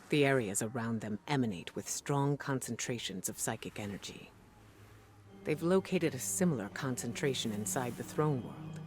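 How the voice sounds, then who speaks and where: An actress playing a composed, scholarly female spymaster in a video game, speaking in a measured, deliberate, grave way. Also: extremely low pitch, a little mellow, a little hiss or hum. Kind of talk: scripted video game dialogue. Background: background music.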